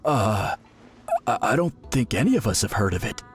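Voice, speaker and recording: A man's voice: lowish voice, sing-song, normal speed, faint music behind. A man playing an earnest, deferential shaman's disciple in a video game, speaking in a conciliatory way. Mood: nervous, honest.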